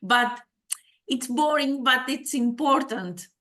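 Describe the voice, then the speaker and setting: Slow, hesitant Greek-accented woman. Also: high voice, sharp tone. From Greece. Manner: hesitant, many fillers, asks the audience questions. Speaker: school head of digital education giving a talk. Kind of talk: conference presentation to an audience.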